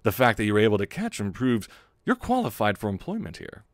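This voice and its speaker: A man's voice: lowish voice, huge pitch swings, fast, no room sound, studio quality. A man voicing an easygoing, casual male detective. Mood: amused.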